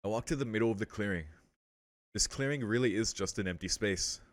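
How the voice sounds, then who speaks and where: A man's voice: lowish voice, a little fast, no echo. A man hosting a let's play, reading visual novel text aloud and breaking in with casual, slangy commentary. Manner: interjects with casual slang and swearing.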